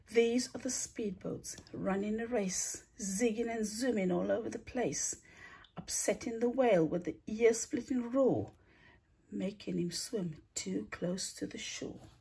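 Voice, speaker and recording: A woman reading a rhyming children's picture book aloud in a theatrical, deliberate way, stretching words and hushing the ends of lines. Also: mid-range voice. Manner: theatrical, deliberate storytelling with drawn-out words and breathy hushed line endings. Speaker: storybook reader, teacher.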